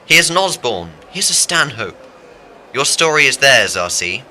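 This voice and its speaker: A man's voice: medium pitch, big pitch swings, unhurried, bright, forceful tone, a little hiss or hum, exaggerated voice. A man playing a dry, commanding, urbane theatre director in a radio play. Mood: solemn. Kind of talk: radio drama acting.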